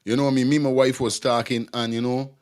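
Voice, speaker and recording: A man's voice: mid-range voice, little pitch movement, fast, darkish tone, clean tone. A man hosting a call-in talk show in a casual, laid-back way, moving callers along.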